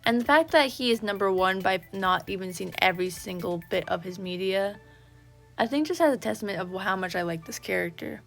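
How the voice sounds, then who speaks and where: Rambling, creaky-voiced woman gushing as a fan. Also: mid-range voice, expressive, brisk, a bit bright. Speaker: YouTube vlogger. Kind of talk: casual fan chat. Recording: background music under the voice. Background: video game background music.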